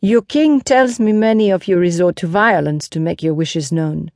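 A woman's voice: fairly low pitch, a little mellow, dry recording, studio quality, close to an everyday voice. Woman acting a patient, reproving horse tamer. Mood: reproving, earnest. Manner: measured, instructive, earnest. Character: calm, gently reproving foreign horse tamer, man.

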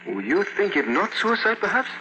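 An actor playing a deferential Filipino valet in an old-time radio drama, speaking clipped broken English with a Filipino accent. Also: male voice, high-pitched, big pitch swings, moderate pace, bright, forceful tone, full character voice. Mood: curious, deferential. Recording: dull, noisy old radio recording.

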